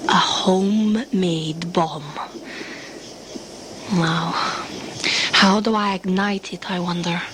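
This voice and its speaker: A woman playing a resourceful, scheming survivor in a sci-fi audio drama, talking in a deliberate, self-addressed mutter. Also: mid-range voice. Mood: hushed, intent. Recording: noisy ambience behind the voice.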